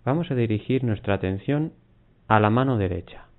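A man guiding a mindfulness body scan, giving unhurried instructions in short phrases with long pauses. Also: on the low side. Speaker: meditation guide. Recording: muffled, phone-like narrow band.

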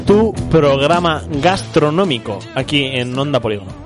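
A man's voice: on the high side, animated, moderate pace, loud music behind the voice. A man from Spain hosting a local radio show in casual banter, joking and laughing often, with a stuffy congested nose. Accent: Spanish (Spain). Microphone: studio microphone.

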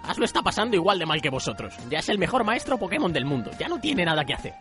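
A performer playing a calm Pokémon therapist who leads yoga and meditation in a radio comedy sketch. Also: mid-range voice, fast, lightly acted. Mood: patient, encouraging. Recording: music behind the voice.

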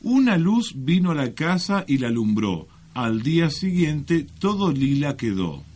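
A man's voice: a little high, sing-song, a little slow, darkish tone. A man with an Argentine accent co-hosting a radio show in playful scripted comic banter. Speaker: radio co-host.

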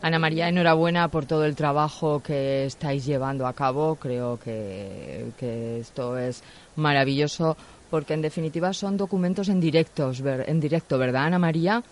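A man's voice: a little high, normal speed. A man with an accent from Spain interviewing on live radio in hesitant, wordy phrasing. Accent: Spanish, from Spain.

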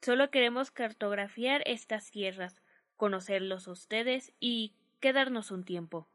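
A woman's voice: mid-range voice, measured pace, fairly bright tone, natural voice. A woman playing a Chinese explorer and interpreter in a radio drama, speaking in a plain, direct way. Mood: calm, placating.

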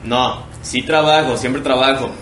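A man's voice: medium pitch, fairly even intonation, measured pace, a bit bright, a little hiss or hum. Mexican man in his twenties, roomy sound. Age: 20s. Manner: slangy, joking. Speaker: podcast co-host. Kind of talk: casual banter chat. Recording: roomy echo, music behind.

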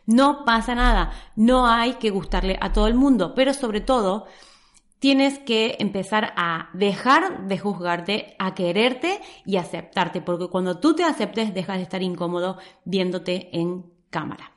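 A woman's voice: mid-range voice, lively intonation, measured pace. A woman from Córdoba, Argentina, with a Córdoba Argentine accent mixed with some Spain Spanish words, giving direct, encouraging advice straight to camera in a vlog. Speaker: social media coach.

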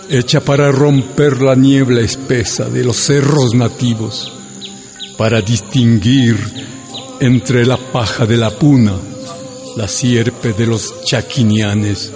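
A man performs a solemn poetic narrator opening a radio epic. He declaims slowly and gravely in a long reverberant hall sound. Faint music sits underneath. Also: mid-range voice, a little mellow, lightly acted.

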